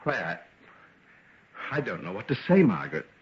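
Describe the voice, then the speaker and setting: Genteel evasive doctor, earnest and hesitant, swingy pitch, old radio drama with dull lo-fi sound. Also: male voice, wildly swooping pitch, measured pace, a little hiss or hum. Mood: polite, flustered. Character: genteel, evasive gentleman doctor with a guilty conscience. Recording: dull, lo-fi vintage radio recording.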